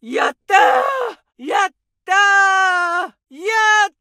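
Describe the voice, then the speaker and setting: An aggressive young infantryman; high, slow shouted callouts with a gravelly edge. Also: big pitch swings, no echo, clean studio sound, full character voice. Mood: battle cry. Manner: barked orders and battle shouts. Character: young male Japanese infantry soldier, aggressive. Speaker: soldier voice lines. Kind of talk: game combat callouts.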